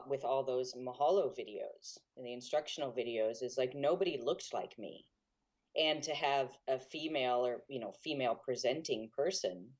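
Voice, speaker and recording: A man's voice: medium pitch, muffled recording. A young man, a guitarist and guitar teacher, speaking frankly and openly as a guest in a casual interview, with a self-deprecating streak. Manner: frank and open, laughs easily, self-deprecating. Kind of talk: candid casual interview talk.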